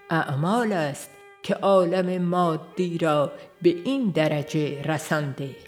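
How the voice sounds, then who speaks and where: A woman reading a religious text aloud in a slow, measured, solemn way. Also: on the low side. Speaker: audiobook reader. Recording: soft violin music behind. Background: faint violin music.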